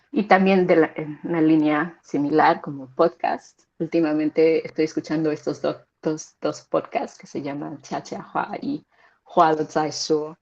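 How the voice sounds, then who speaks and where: A woman's voice: sits low, a little mellow. A young adult woman giving casual, conversational advice as a guest, often repeating words as she searches for them. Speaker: guest sharing learning experience. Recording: dull lo-fi sound.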